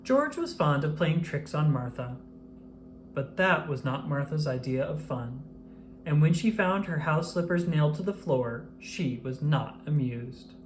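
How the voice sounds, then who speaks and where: An expressive narrator reads a children's storybook at an unhurried, measured pace. The pitch moves around in a lively way for effect. Some background noise sits behind the voice.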